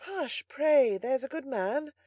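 Soft, high, gentle young lady, voiced by a woman reader. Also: expressive, slow pace, dry recording, clean studio sound, full character voice. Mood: gentle pleading. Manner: soft, hushed, pleading. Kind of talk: audiobook character voice.

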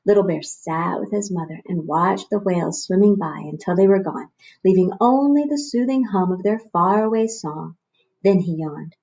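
A woman's voice: on the low side, normal speed, darkish tone, husky, dull audio. A woman, narrating a picture book expressively for children as a storyteller. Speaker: read-aloud host, storyteller. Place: small room at home, on a couch.

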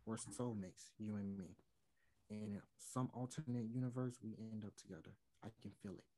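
A man's voice: on the low side, moderate pace, mellow, close to an everyday voice. A man in his twenties playing a remorseful, earnest man who longs for his first love, in a play read over a video call. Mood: tender, earnest. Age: 20s. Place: room at home. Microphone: computer mic on a video call.

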